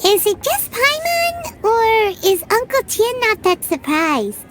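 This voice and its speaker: A woman voicing a small, naive, curious fairy companion in a video game, squeaky and childlike. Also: big pitch swings, slow, a bit bright, a little hiss or hum, full character voice. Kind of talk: English video game voice acting.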